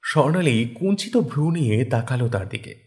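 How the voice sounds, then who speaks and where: A man's voice: medium pitch, expressive, brisk, darkish tone. A man giving a scripted narration of a story. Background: background music.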